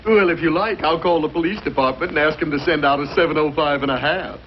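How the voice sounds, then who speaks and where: A man playing a polite, dryly unhelpful clothing store clerk in a fussy, deliberate way, in 1950s comedy style. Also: a little high, natural voice. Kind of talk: 1950s comedy show acting. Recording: dull old broadcast, loud audience and noise.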